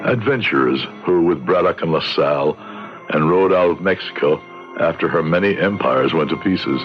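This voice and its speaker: Man playing a deep-voiced, genteel frontier lawyer. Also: lightly acted. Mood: reflective. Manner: formal, measured, courteous. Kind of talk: radio drama acting and narration. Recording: lo-fi radio recording with music behind.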